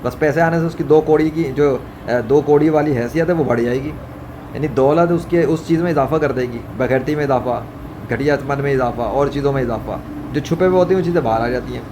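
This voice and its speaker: Male vlogger, mid-pitched, scolding and preachy, informal rant with repeated phrases, noisy ambient recording. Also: fairly quick. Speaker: religious vlogger. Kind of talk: informal religious monologue, ranting. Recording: clearly noisy, ambient background hum. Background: ambient noise, running fan.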